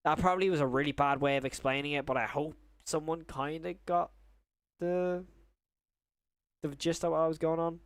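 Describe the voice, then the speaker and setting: A man's voice: on the high side, steady tone, moderate pace, clear, resonant tone, no room sound. A young man chatting casually on a live stream as he reacts to videos and chat, rambling and often rephrasing himself mid-thought.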